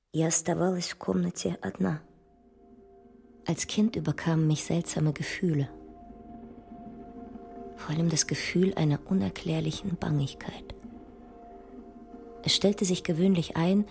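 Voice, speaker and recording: A woman playing a grave, introspective mathematician who recalls her life in a measured, hushed literary monologue. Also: fairly low pitch, vocal fry. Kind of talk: literary audio drama monologue. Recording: music under the voice. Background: music.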